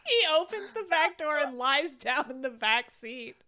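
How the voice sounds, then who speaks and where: Bright, higher-voiced woman reading a script. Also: very animated, a little slow. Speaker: podcast host reading stage directions. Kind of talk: script table read with casual banter.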